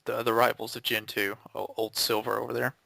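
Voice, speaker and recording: A man with a bright, forceful voice at a middle pitch rambles casually among friends. He is sweary and snarky, putting on exasperation, and the sound is dry and close. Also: little pitch movement, fairly quick, creaky, dry recording. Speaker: member of a gaming commentary group. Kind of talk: rambling casual group chat.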